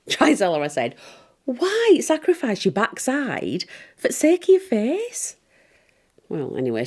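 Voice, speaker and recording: An actress playing a smug, scheming pantomime stepsister, gossiping confidingly in a comic monologue. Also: female voice, lowish voice, a little mellow, heavily acted. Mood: catty.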